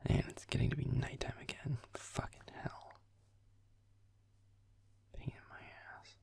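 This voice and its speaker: A man playing a grumpy, sarcastic werewolf boyfriend in a gaming roleplay audio, muttering irritably with swearing in a low, breathy, gravelly voice. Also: a little slow, darkish tone, no room sound, whispered, close to an everyday voice. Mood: grumbling.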